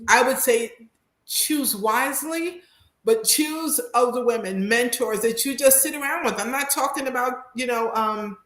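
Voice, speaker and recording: A woman's voice: fairly high pitch, measured pace, a bit bright. A woman in her fifties giving candid, conversational advice on a panel, emphatic and repeating phrases to drive points home. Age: 50s. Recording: roomy echo.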